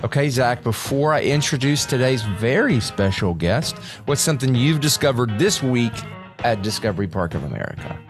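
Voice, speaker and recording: A man's voice: mid-range voice, lively intonation, moderate pace, music in the background. A man hosting a podcast, who talks in a chatty, folksy way and likes friendly teasing. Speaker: podcast host. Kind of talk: podcast interview.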